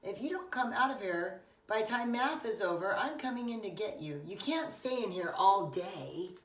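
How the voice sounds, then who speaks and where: A woman voicing a teasing, matter-of-fact schoolboy best friend in a children's storybook, brisk and insistent. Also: medium pitch, expressive, close to an everyday voice. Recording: roomy, echoing, distant microphone.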